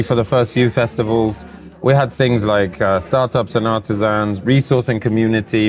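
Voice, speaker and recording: A man from Poland who grew up speaking Polish, talking as a guest in a casual interview at a design festival, explaining things in a relaxed, measured way. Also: on the low side. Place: festival venue, recorded live.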